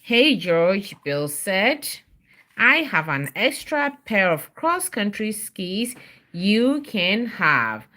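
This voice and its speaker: A woman's voice: mid-range voice, big pitch swings, very slow, pushed and bright. A mother reading a children's storybook aloud on a livestream, chatting with viewers and encouraging her child with prompts and questions.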